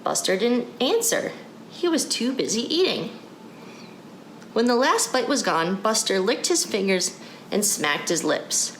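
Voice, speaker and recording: A woman's voice: medium pitch, very animated, a little slow, fairly bright tone, reverberant. A woman reading a children's storybook aloud as a narrator. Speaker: story reader. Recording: clear background noise.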